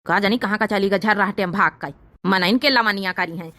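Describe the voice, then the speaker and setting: A woman's voice: mid-range voice, brisk, bright, forceful tone, dry recording, close to an everyday voice. A woman voicing an exasperated village husband in a comedy cartoon, scolding and grumbling in an Awadhi accent. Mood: grumbling, alarmed. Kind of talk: exaggerated cartoon comedy dialogue.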